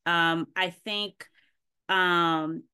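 Female guest raised in Haiti, smooth lower voice, pauses to think mid-sentence. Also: steady tone, pushed and bright. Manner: thoughtful, searching, many ums. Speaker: podcast guest. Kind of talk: casual interview answers. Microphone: video call.

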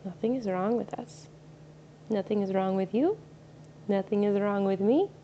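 A woman leads a guided meditation in a mellow, soothing voice, speaking softly and unhurriedly. The recording is a little dull, with a faint hiss of ambient noise behind her. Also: medium pitch. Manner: slow, soothing, soft-spoken.

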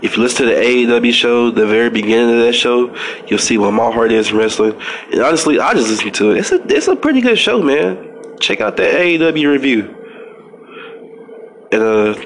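A man's voice: mid-range voice, lively intonation, moderate pace, slight background noise. A man with a stuffy nose hosting a casual wrestling podcast, rambling with frequent restarts and fillers. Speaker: podcast host.

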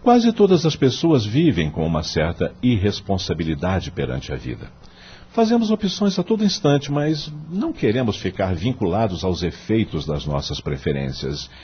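An actor playing a wise, kindly male law professor in a radio drama, with a Brazilian accent and a husky voice, measured and moralizing. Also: low-pitched, huge pitch swings, highs cut off, heavily acted. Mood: earnest, didactic. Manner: didactic, measured, moralizing, rhetorical questions.

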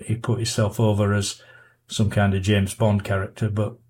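Low-voiced older Yorkshire man chatting. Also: level tone, normal speed, a little mellow. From Yorkshire, northern England. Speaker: guest, diver and author. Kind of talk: casual podcast interview, reminiscing.

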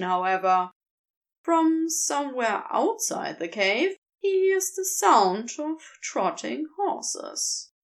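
A woman narrates a story at an unhurried, deliberate pace. Her voice sits a little high and moves through a wide, lively melody as she tells it, measured and expressive. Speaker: podfic reader.

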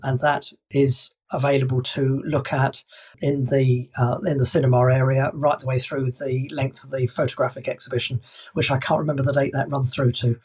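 A male podcast co-host with a husky voice in a middle register. He chats in a measured, slightly halting way. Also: mid-range voice, little pitch movement, darkish tone. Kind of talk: casual chat.

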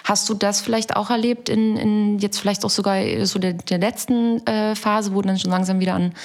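A woman's voice: mid-range voice, steady tone, moderate pace. Woman hosting a chat, asks long thoughtful questions, lots of ähms. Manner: thoughtful, searching questions with fillers. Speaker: podcast host. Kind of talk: interviewing a guest.

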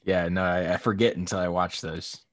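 A young man talks in a deep, low register, relaxed and quick to laugh. His delivery is easygoing chat, and the recording sounds dry and close to the mic. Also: dry recording. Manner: laughs often, relaxed and joking. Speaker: podcast co-host. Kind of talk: casual chat.

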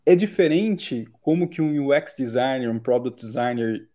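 A man's voice: medium pitch, a little mellow. A man with a Brazilian Portuguese accent, interviewing casually with slangy, informal questions.

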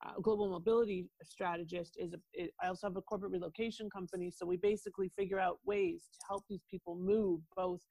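A woman's voice: lowish voice, steady tone, quick pace, few pauses, mellow, no echo, rough recording. A woman with a Canadian accent speaking as an expert guest on a podcast, explaining her work in an assured way and addressing the listener directly. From Toronto, Canada. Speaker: guest, international lawyer and mobility strategist.

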